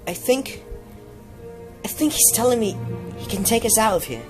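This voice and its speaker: An actor playing a brave, weary, earnest teenage boy wizard in a hushed manner in an audio drama. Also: mid-range voice, sing-song, moderate pace, music in the background, close to an everyday voice. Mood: hesitant.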